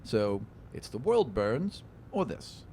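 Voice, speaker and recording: A man's voice: mid-range voice, big pitch swings, slow pace, warm tone, a little hiss or hum. A man playing a fussy, watchful inquisitor in a tabletop game, speaking in a hesitant, officious way. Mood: officious, wary. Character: fussy bureaucratic male inquisitor, watchful, matter-of-fact.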